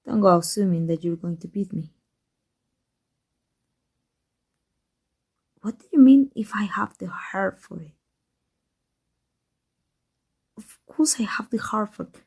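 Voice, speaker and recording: A woman playing an embittered villain secretly in love with a rival, in an intense ASMR roleplay monologue, husky and breathy, often dropping to a whisper. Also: mid-range voice, expressive, a little fast, a little mellow. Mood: defiant, hostile.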